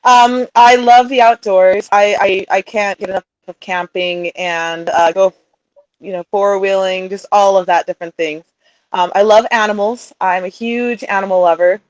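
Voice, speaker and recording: A woman's voice: medium pitch, steady tone, moderate pace, no room sound, highs cut off. A chatty woman in her thirties talks about her life as an interview guest, laughing often as she goes. Her voice sits mid-range, and the recording is close and dry with no room sound. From Utah, raised also in Sweden and England. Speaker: guest, coaching client. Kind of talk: casual interview talk.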